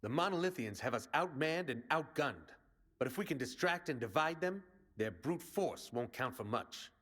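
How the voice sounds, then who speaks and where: A man's voice: mid-range voice, animated, moderate pace, fairly bright tone. An actor playing a brash male resistance commander in a video game, rallying and plain-spoken like a soldier. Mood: confident briefing.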